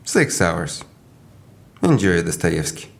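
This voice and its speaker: An actor playing a brisk, pompous Russian official and jailer in an audio drama, declamatory and commanding. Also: male voice, low voice, very animated, gravelly, a little hiss or hum, heavily acted. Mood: smug, courteous.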